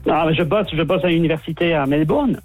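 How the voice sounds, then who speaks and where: A man's voice: on the high side, brisk, darkish tone, soft background music. A Belgian-born man calling in to a radio show, a friendly university lecturer who restarts his sentences often. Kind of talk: phone-in chat. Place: calling from abroad.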